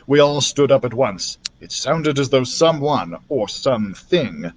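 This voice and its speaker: A man narrating a children's fantasy novel as a library storyteller, expressively, in an animated manner. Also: medium pitch, unhurried. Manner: animated, acts out the characters' dialogue.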